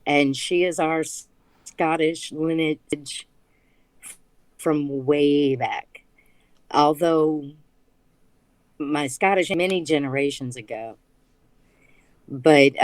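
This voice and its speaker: A woman guest on a casual interview podcast, speaking haltingly with restarts and easy laughter. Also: sits low, dry recording, poor audio. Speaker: guest, small business owner.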